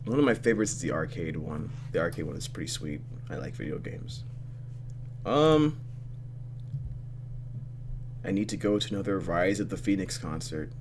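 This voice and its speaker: Animated man doing let's play commentary. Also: sits low, a little hiss or hum. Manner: expressive, dramatic reactions. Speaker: gaming video host. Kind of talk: let's play commentary, reading game text aloud. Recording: game music behind. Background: game music.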